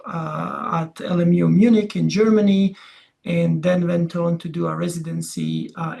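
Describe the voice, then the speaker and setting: Gravelly Croatian-accented man, halting lecturer. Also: on the high side, a little mellow. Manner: halting, conversational, often checks with "you know" and "yeah". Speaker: veterinary dermatology researcher giving a talk. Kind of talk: informal slide presentation.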